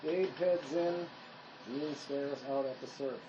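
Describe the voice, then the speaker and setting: A man's voice: a little high, slow, dull audio, background noise. A man reading scripted stage directions as the narrator of a drama.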